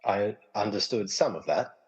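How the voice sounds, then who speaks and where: A man playing an easygoing, casually explaining film lover trapped in a movie, in a comedy radio play, with a husky voice and long reverb. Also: lowish voice, lively intonation, normal speed. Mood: mildly puzzled. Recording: long reverberant hall echo.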